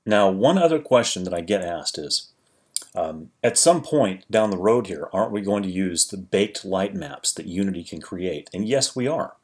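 A man with a fairly low voice narrates a screen-recorded 3D tutorial. He explains each step calmly and informally, often restarting his sentences as he thinks. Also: moderate pace. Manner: relaxed, explanatory, with frequent restarts. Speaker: 3D tutorial creator.

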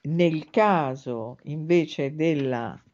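Slow, measured woman lecturing. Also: low voice, a little mellow. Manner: measured, thoughtful, with frequent hesitant pauses. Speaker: host and spiritual teacher. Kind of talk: reflective spoken lecture on a fairy tale. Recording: dull lo-fi podcast audio.

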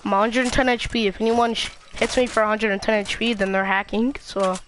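Young boy streamer, excitable and rambling, mid-pitched, noisy game audio underneath. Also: a little fast. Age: child. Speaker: gamer. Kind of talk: casual gaming chat. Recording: noisy gameplay audio behind.

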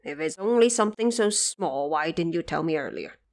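A woman's voice: deep voice, lively intonation, moderate pace, pitched below the performer's own voice. Dismissive villainous official, read by a woman. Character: male villainous official, husband of the lady.

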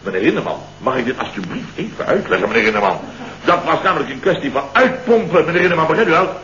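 A man's voice: on the high side, very animated, fast, sharp tone. An actor playing an exasperated, easily flustered office boss in a radio comedy from around 1970, sputtering and repeating his words. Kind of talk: 1970s Dutch radio comedy acting. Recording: dull, noisy, roomy old radio recording.

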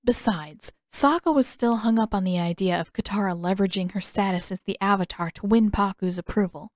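A woman's voice: mid-range voice, a little fast, dry recording, studio quality. A woman reading fan fiction aloud as scripted audiobook narration. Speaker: podfic narrator.

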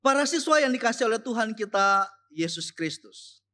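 A young man giving a chapel sermon to students, slow and emphatic, repeating key phrases. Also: high voice, lively intonation, bright, forceful tone. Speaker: chapel speaker and teacher. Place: school chapel.

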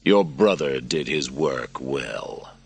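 A man voicing a bitter, weary enslaved gladiator who speaks low, slow and grim, with a husky voice. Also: animated, dry recording, exaggerated voice.

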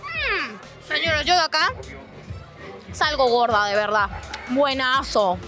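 Bubbly high-pitched Peruvian woman vlogger. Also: animated, slow, loud music behind the voice. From Peru. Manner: bubbly, laughs often, chatty with vendors. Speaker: street food vlogger. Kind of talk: casual on-street food vlog. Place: busy outdoor night food market. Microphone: handheld mic.

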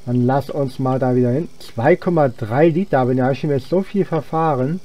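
A man's voice: mid-range voice, a little fast, mellow. A young man giving casual gameplay commentary, rambling and thinking aloud as he plays. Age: young adult. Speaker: let's player.

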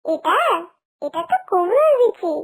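A woman's voice: sing-song, a little slow, full character voice. A woman voicing an eager, cheerful little girl in an animal cartoon, with a childlike, sing-song delivery. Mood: eager pleading. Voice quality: childlike, high-pitched. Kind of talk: cartoon character acting.

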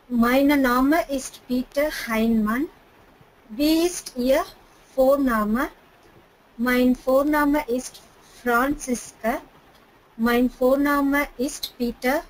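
Careful high-voiced woman student reading. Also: a little hiss or hum, rough recording. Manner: careful, apologetic. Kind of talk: reading a text aloud in class.

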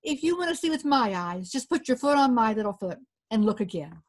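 A woman's voice: a little high, big pitch swings, fairly quick. A woman voicing a tiny male fairy in a storybook, pleading and politely complaining. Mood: patient.

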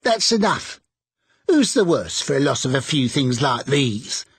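A man voicing a bold, defiant charwoman with a shrill, scornful manner. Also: big pitch swings, unhurried, husky, no echo, exaggerated voice. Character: bold defiant charwoman, brash older woman.

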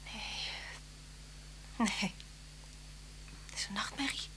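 A woman's voice: slow pace, lightly acted. An actress playing a frightened, faltering woman in a Dutch radio play. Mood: terrified. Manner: faltering, whispering when afraid. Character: frightened woman alone at home with suspected killer. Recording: humming, noisy old radio recording.

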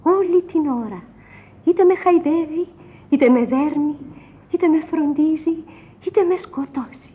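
An actress playing a romantic young café waitress in a radio drama, dreamy, ardent and declarative. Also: female voice, high voice, close to an everyday voice. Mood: ardent longing. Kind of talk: radio theatre monologue. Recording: dull old radio recording.

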